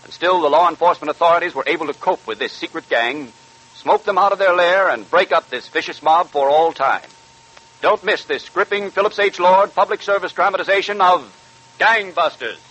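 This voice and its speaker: A man's voice: high voice, brisk, a bit bright, low-quality recording. An American man announcing on 1940s radio in a polished, scripted advertising style. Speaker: radio announcer reading a sponsor ad.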